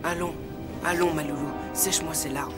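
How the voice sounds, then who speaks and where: A woman voicing a protective, worried young boy, a loyal friend, in a French animated series. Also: deep voice, moderate pace, over loud music, close to an everyday voice. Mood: soothing, worried.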